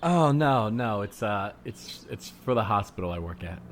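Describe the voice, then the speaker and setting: Low-voiced, earnest hospital worker played by a man. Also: animated, natural voice. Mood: casual, awkward. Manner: halting, earnest, tentative. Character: earnest, mild-mannered hospital worker out jogging. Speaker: improv comedian on a podcast. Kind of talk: improvised comedy scene, naturalistic casual chat. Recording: background noise of outdoor soundscape. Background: added outdoor ambience soundscape.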